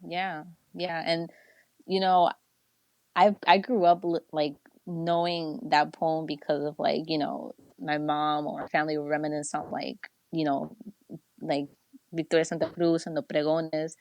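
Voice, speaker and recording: A woman from New Jersey, a guest on a podcast, chatting in a relaxed way with plenty of fillers. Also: low voice, unhurried, no room sound. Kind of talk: casual conversation.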